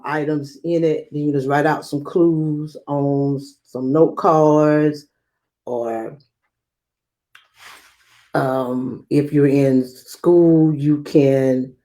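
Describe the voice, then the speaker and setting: A woman guiding young listeners through a read-aloud lesson, instructive and speaking straight to them with emphatic pauses. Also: low voice, dark tone. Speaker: guest reader and read-aloud host for children.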